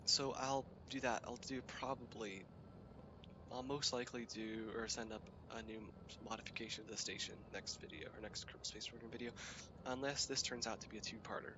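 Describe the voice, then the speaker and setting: A man's voice: lowish voice, lo-fi sound, noisy background. A young man with a cold, sounding sniffly and stuffy, casually talking through a space game with lots of hesitant fillers. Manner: rambling, hesitant, many fillers. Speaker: gaming channel host. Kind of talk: casual gameplay commentary.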